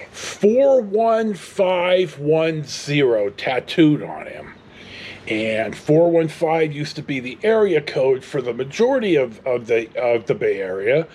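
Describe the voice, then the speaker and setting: A man's voice: a little high, darkish tone. A middle-aged American man tells rambling casual stories in a blunt, salty way. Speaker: storyteller vlogger.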